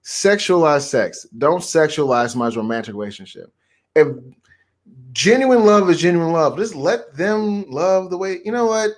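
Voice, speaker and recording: A man's voice: fairly high pitch, expressive, moderate pace. A man chatting casually as a co-host, talking in an emphatic, slangy way. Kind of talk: casual chat.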